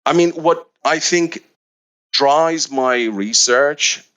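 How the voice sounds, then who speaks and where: A man's voice: fairly high pitch, extremely slow, a bit bright. A middle-aged man from Iraq, a researcher answering interview questions with many fillers and restarts. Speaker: researcher guest.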